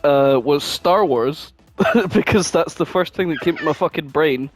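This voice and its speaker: A man's voice: on the high side, measured pace. A man co-hosting a podcast in casual chat, exclaiming and swearing freely. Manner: foul-mouthed, exclamatory. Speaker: podcast co-host.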